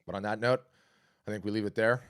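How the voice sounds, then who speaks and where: Hoarse, low-voiced man hosting a live workshop. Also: fast. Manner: energetic, conversational, pitchy and salesy. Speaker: host of a live art business workshop. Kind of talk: live webinar talk and Q&A.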